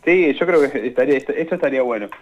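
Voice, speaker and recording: A young Argentine man answering questions in a conversational radio interview, speaking in a hesitant, halting way. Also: mid-range voice, animated. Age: young adult. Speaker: interview guest, student radio drama maker. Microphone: remote call into a radio show. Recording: dull, lo-fi call-in sound.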